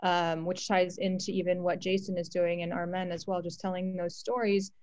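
A woman's voice: on the low side, level tone, normal speed, darkish tone, dry recording. A woman hosting a panel in a conversational, appreciative and encouraging way as she moderates. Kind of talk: conversational hosting, relaying audience questions. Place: remote virtual panel call. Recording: dull, lo-fi, dry call audio.

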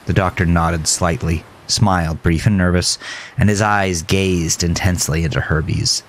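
A man's voice: sits low, fairly even intonation, normal speed, a little hiss or hum. A man reading scripted, dramatic horror narration as a storyteller.

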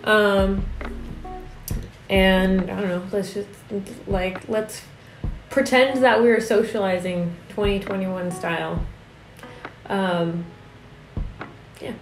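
Young female vlogger, slow, slightly raspy, hesitant, echoey home room. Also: medium pitch, steady tone, soft background music. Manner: halting, many fillers and restarts. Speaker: art vlogger. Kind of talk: casual confessional talk. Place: home studio room with desk and monitors.

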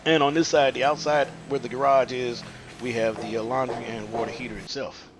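A man working as a plumber, explaining a pipe installation in an unfinished house, pausing often with fillers. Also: mid-range voice, little pitch movement, noisy background. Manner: halting, many "uh" fillers. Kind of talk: hands-on how-to explanation. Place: unfinished house under construction, indoors.

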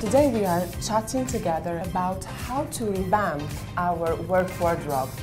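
Effusive woman hosting a fashion show. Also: on the low side. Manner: upbeat, effusive compliments. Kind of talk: presenting and interviewing. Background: background music.

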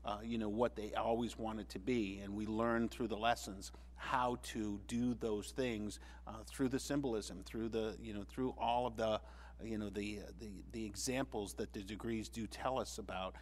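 A man speaking earnestly on a panel discussion, measured, with frequent restarts. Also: on the low side, fairly even intonation, slight background noise.

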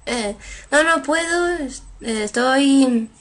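A woman's voice: high voice, slow. Chatty young woman gamer. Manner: chatty, translating on the fly with many fillers. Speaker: gameplay streamer. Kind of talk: playing and reading game dialogue aloud. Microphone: headset.

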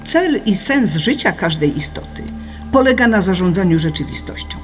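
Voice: mid-range voice, sing-song, moderate pace, close to an everyday voice. A narrator reading a scripted philosophical audiobook in an explanatory, didactic manner. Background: music.

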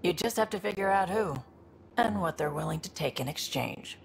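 A woman's voice: fairly low pitch, animated, normal speed, vocal fry, slight background noise. An actress playing a wry young woman crew member who trades on the side, teasing and breezy. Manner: teasing, breezy, laughs easily.

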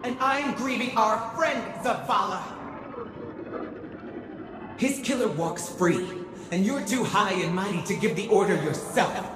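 A woman playing a scornful, outspoken warrior in a video game, cutting and forceful in delivery. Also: mid-range voice, a little slow, long pauses, lightly acted. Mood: scornful, bitter. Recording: long echoing reverb, music behind.

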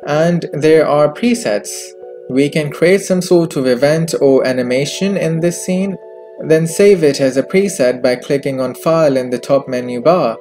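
A man presenting a software tutorial in scripted narration, recorded as a close voice-over with music behind him. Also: on the high side, a little slow. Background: background music.

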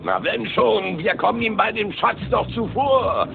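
Gold-mad cartoon villain, menacing croaky voice, drawn out, swoops a lot, tinny cassette. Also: male voice, high-pitched, big pitch swings, unhurried, soft background music, full character voice. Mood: greedy, furious. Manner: menacing, fanatical. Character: gold-crazed, dangerous villainous cartoon captain.

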